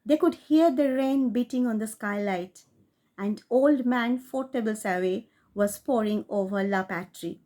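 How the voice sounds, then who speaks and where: Narrator: woman, slightly high voice, measured expressive storytelling. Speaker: audiobook reader.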